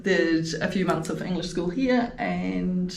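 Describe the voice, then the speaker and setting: A middle-aged Swiss-born woman with a New Zealand accent and Swiss German as her first language, chatting in an interview in an office. She laughs easily and often pauses to think with "um". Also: fairly low pitch, creaky. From Switzerland. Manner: warm, laughs easily, thinks aloud with ums. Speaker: education manager, guest. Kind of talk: casual interview. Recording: roomy office with faint hum.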